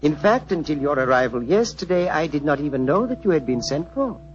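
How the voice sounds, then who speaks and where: Suave, animated Indian prince. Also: male voice, fairly high pitch, brisk, slight background noise. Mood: courtly. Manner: formal, ornate, smoothly deferential. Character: suave, courtly Indian prince, a Maharaja's brother. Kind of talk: 1940s radio acting. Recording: muffled 1940s radio recording.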